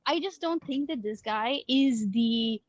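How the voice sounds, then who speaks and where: A woman's voice: fairly high pitch, a little slow, highs cut off, a crowd in the background. A woman streamer on a call, talking casually and hesitantly, often restarting her sentences.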